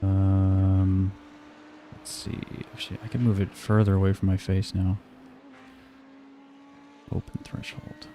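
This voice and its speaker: Soft-spoken streamer guy mumbling through mic checks, mellow dark tone, bit of hiss behind. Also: low voice, huge pitch swings, slow, long pauses. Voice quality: quiet voice. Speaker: sim racing streamer. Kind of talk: casual stream chat, mic testing.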